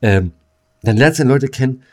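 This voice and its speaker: A man's voice: medium pitch, animated, moderate pace. A middle-aged man chatting as a podcast co-host, telling stories in coarse, slangy, exasperated fashion. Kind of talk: casual chat, storytelling.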